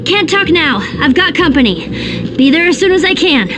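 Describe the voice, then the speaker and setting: An actress playing a cautious, worried teenage girl superhero in a video game, with loud music underneath. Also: very high voice, big pitch swings, moderate pace, noisy background. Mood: concerned. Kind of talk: animated video game voice acting.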